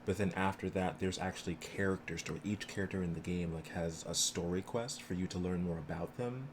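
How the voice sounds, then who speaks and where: A man with a low voice talks casually to the viewers of his game stream. He sounds like he is explaining things off the cuff, with clear game noise behind him. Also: steady tone, brisk. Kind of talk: casual livestream chat with viewers.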